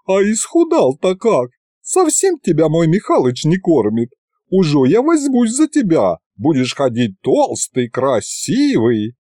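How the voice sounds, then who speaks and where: A man's voice: a little high, wildly swooping pitch, a little slow, mellow, no echo, clean studio sound, voice pushed up, full character voice. A man voicing a hearty, motherly middle-aged village woman who fusses over the boy. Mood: doting. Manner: homely, fussing.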